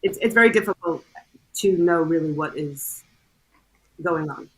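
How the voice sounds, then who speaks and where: Lady guest with a low husky voice, calm and explaining, casual chat. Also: low-quality recording. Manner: measured, explanatory. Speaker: guest, hardware audit committee member.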